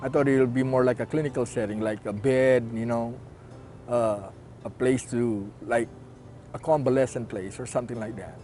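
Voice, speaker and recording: A man's voice: medium pitch, fairly even intonation, normal speed, dark tone, soft background music. A man from the Philippines, a client of a recovery program, speaks hesitantly, searching for his words. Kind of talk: testimonial interview.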